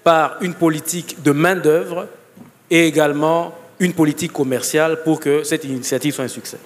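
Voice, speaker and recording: A man gives formal, technical remarks as an academic on a panel. His voice is on the high side, and it carries the long echo of a large hall. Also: fairly even intonation, normal speed. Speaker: panelist, professor. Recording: reverberant conference hall.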